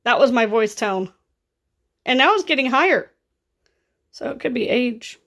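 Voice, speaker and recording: A woman in her thirties with a scratchy, raspy voice and vocal fry, chatting casually through a grocery haul in her kitchen. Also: fairly high pitch, animated, measured pace, pushed and bright. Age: 30s. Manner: chatty and offhand, rising into exclaimed asides. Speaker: vlogger. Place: home kitchen, indoors.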